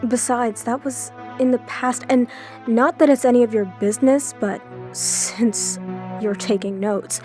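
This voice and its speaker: An actress plays a tough woman police chief in an audio drama, speaking in a soft-edged, mellow timbre a little on the high side. She is guarded and drily firm. Faint music sits behind her. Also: steady tone, measured pace, natural voice. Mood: defensive, dry.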